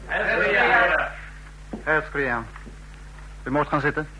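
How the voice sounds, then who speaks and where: Bright, commanding fascist leader. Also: male voice, fairly high pitch, expressive, takes it slowly, exaggerated voice. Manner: formal, authoritative, clipped. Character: brisk, commanding dictator of a fascist state. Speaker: radio drama actor. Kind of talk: mid-century radio play acting. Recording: noisy, humming old radio recording.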